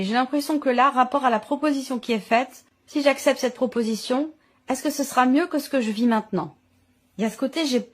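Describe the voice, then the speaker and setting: A woman giving an unscripted intuitive tarot reading, reflective and explanatory, often rephrasing her points. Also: on the high side. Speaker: tarot reader, channel host.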